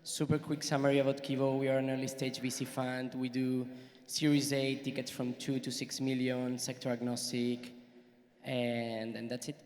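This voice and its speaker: A man from Barcelona introduces himself on a panel stage, his delivery flat with little pitch movement. The hall adds a long reverb to the mic. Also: normal speed. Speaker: panelist investor. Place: large conference hall stage. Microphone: handheld mic.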